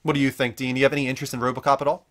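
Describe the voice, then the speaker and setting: A man's voice: mid-range voice, level tone, racing, a bit bright. A man hosting a casual panel discussion, speaking in an informal, chatty way. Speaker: host of a movie news talk show.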